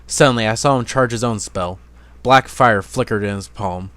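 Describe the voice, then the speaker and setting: A man's voice: on the low side, normal speed, fairly bright tone, dry recording. A man narrating fantasy fan fiction as an amateur dramatic reading.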